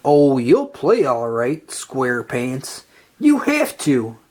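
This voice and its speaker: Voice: mid-range voice, huge pitch swings, slow pace, darkish tone, pitched below the performer's own voice, exaggerated voice. A storybook reader voicing a tiny, gloating, scheming male cartoon villain. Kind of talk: children's storybook villain read-aloud.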